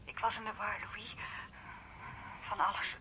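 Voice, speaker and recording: A woman's voice: medium pitch, flat delivery, unhurried, pushed and bright. An actress playing a hesitant, anxious woman calling her lover from a phone box in a radio drama, faltering as she speaks. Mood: confused, hesitant. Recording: noisy street phone-box call.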